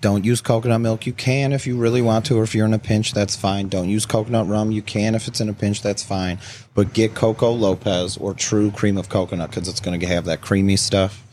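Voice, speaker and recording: A man's voice: lowish voice, level tone, a little fast, darkish tone, slight background noise. An American man in casual conversation, explaining things in an informal, easygoing way as a guest bartender.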